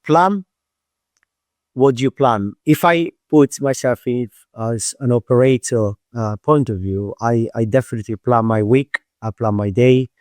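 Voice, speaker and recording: A man's voice: mid-range voice, measured pace, a little mellow, dry recording. A man speaking as a guest coach in a podcast interview, thoughtful and searching for his words with frequent hesitations. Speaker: performance mental coach, guest. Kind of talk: conversational interview.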